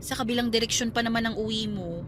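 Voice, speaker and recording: A woman's voice: a little high, fast talker, background noise, natural voice. A woman playing a guarded, skeptical young single teacher in a Tagalog radio drama, talking in a conversational way. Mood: bashful, polite.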